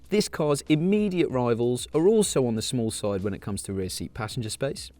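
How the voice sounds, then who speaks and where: A man giving a scripted car review as a voice-over, in a measured and informative way. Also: mid-range voice, darkish tone.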